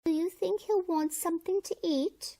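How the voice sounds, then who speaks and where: A woman's voice: extremely high pitch, fairly even intonation, normal speed, noisy background. A woman playing a kindly, chatty landlady in old-time radio comedy, folksy and fussing. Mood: motherly fussing. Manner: folksy, fussing over her lodger.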